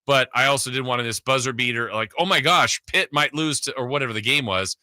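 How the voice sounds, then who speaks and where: A man's voice: medium pitch, fast talker, sharp tone, dry recording, studio quality. A man hosting a sports radio show, talking casually and conversationally with emphatic points.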